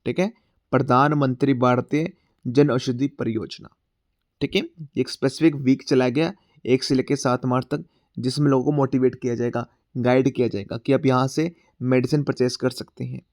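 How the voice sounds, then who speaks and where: A man's voice: mid-range voice, steady tone, darkish tone, dry recording, studio quality. Man teaching exam prep with sore throat. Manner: repeats "theek hai" as a filler, mixes Hindi and English; reads English questions slowly. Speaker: current affairs teacher. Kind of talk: exam-prep class, explaining quiz questions.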